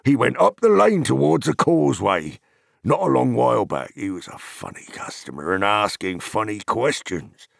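A gruff, hearty hobbit farmer from a fantasy audiobook, acted out by a male narrator. He talks bluntly and rustically with a gravelly edge and big swings in pitch, wary of strangers yet hospitable, recorded close and dry. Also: sing-song, normal speed, no echo, exaggerated voice. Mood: grumbling, confiding. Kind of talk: dramatized fantasy audiobook character.

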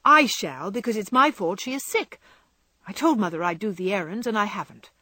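A woman voicing an impulsive, warm-hearted teenage girl who speaks earnestly and emphatically. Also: medium pitch, lively intonation, normal speed, breathy edge, no room sound. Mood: anxious.

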